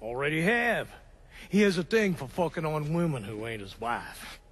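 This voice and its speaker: An actor playing a crooked, fast-talking American mobster in a video game, crude, streetwise and defensive. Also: male voice, on the high side, sing-song, a bit bright, breathy edge, exaggerated voice. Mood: scheming, eager. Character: crooked, fast-talking mobster, scheming younger-sounding brother of a boss. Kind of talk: video game cutscene acting.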